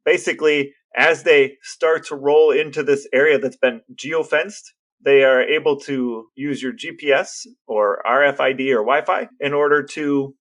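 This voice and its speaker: An American man co-hosting a podcast, explaining things casually in a measured, matter-of-fact way. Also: mid-range voice, fairly bright tone. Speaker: podcast co-host.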